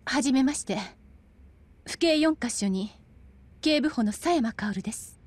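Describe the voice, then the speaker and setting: Firm young policewoman, highish voice. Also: expressive, measured pace, darkish tone, exaggerated voice. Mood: composed. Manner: crisp, serious, direct. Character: firm, cool young policewoman, serious and headstrong. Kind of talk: dramatic video game cutscene acting.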